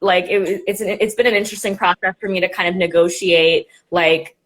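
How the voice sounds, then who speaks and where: A woman's voice: on the low side, fairly even intonation, brisk. A young woman hosting a live video-call interview, talking casually and rambling, with many fillers. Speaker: host, nonprofit director.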